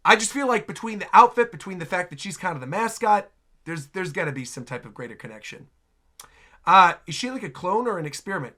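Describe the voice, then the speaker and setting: A man's voice: fairly high pitch, sharp tone. A man hosting a reaction stream, giving casual live commentary and rambling as he thinks aloud.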